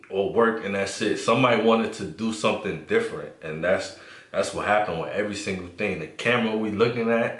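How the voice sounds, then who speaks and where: A young man from the Bronx talks in a relaxed, halting way, filling his pauses with ums. His voice sits very low. He sounds set back from the mic, in a room with a noticeable echo. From the Bronx, New York. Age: 20s. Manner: laid-back, halting with many fillers. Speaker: guest. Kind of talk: casual conversational vlog. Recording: roomy and distant from mic.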